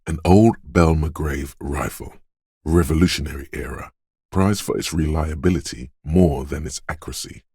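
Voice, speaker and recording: An actor playing the coolly urging inner voice of a detective's reflexes in a video game, narrating to the listener in a deliberate, intimate, theatrical way. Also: male voice, darkish tone, no echo, clean studio sound, heavily acted. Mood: matter-of-fact. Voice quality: very deep, smooth, resonant. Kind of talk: dramatic second-person game narration.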